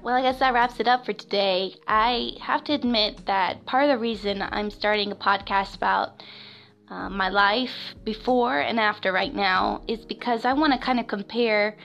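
A woman in her twenties, originally from Florida, hosting a casual personal podcast alone. She has a girlish voice and talks in a nervous, halting way, filling pauses with ums and small laughs. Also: creaky, highs cut off. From West Florida, United States. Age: 20s. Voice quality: high, girlish voice. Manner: nervous and halting, with many fillers and soft laughs. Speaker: podcast host.

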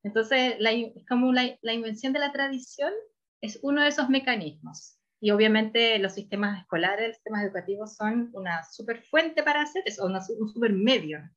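A woman's voice: on the high side, a little fast, fairly bright tone, highs cut off. Thoughtful woman in her forties. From Chile. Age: 40s. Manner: thoughtful, self-correcting, frequent "como". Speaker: researcher guest. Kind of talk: conversational interview answers.

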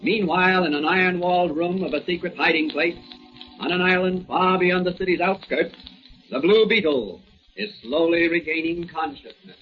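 Male radio narrator, dramatic declamatory delivery, fairly high voice, muffled vintage sound, faint music behind. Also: moderate pace. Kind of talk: scripted narration.

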